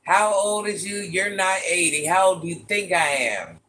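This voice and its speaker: An older woman with a very deep voice, hosting a casual livestream, reading viewer comments and playfully trash-talking. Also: lively intonation, normal speed, a bit bright, slight background noise. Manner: playful trash talk, sassy asides. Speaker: livestream host.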